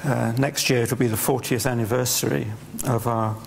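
A man's voice: mid-range voice, fairly even intonation, vocal fry, slight background noise. A man interviewing a guest in an on-stage public conversation, speaking hesitantly with many restarts. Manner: hesitant, halting. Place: large auditorium stage.

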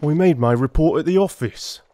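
A man's voice: a little high, moderate pace, mellow, slight background noise, pitched above the performer's own voice, natural voice. A man voicing a working-class Victorian police constable in an audiobook drama, telling things in a rambling, colloquial way. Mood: grudging, obliging. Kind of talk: dramatised audiobook character acting.

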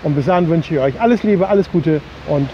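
A man's voice: a little high, brisk. A man in his fifties from Germany, chatting casually to his viewers as host of a vlog, recorded outdoors at arm's length. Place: outdoors on a beach. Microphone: phone or camera at arm's length. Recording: dull lo-fi sound, outdoor wind or ambient noise.

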